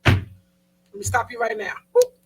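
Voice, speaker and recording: A woman's voice: a little high, very animated, moderate pace, very noisy. A woman in her thirties co-hosting a casual talk show, slangy and playful, often mock-protesting. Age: 30s. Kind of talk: casual banter.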